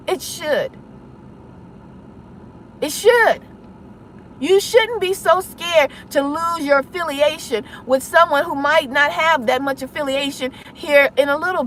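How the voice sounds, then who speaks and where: High-pitched, insistent woman over background noise. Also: fairly bright tone. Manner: insistent, confrontational, rhetorical questioning. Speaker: online content creator. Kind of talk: impassioned public address to viewers.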